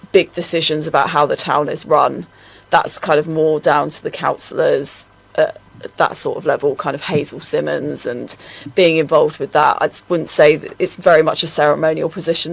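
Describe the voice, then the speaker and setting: A woman's voice: low voice, creaky. A woman presenting a local radio show, talking in a chatty way with plenty of ums and likes. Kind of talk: casual radio chat.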